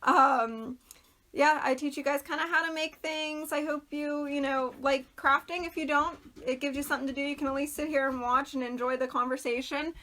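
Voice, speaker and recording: A woman's voice: high-pitched, fairly even intonation, moderate pace, bright, forceful tone. A woman hosting a casual craft livestream, chatting loosely and greeting viewers by name, her voice a little worn and throaty. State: losing her voice, clearing throat. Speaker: craft video host.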